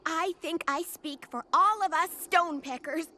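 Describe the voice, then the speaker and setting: A woman's voice: very high-pitched, moderate pace, sharp tone. A woman playing a grateful, doting mother bird in an exaggerated kids' cartoon. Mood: grateful, chatty. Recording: cartoon music behind the voice.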